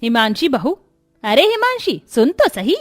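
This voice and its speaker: Older cartoon mother-in-law, stern and worried, scolding her daughters-in-law in a raised, fairly high voice, dry close sound. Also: big pitch swings, a little slow, dry recording, soft background music. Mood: upset. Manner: scolding, pleading. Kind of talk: cartoon voice acting.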